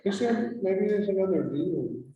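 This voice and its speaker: A woman's voice: mid-range voice, lively intonation, unhurried, dark tone. A woman at a library board meeting, asking in puzzlement about how the video-call camera view works. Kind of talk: casual chat before a board meeting. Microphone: room microphone, from far off. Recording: dull, echoey room audio from far off.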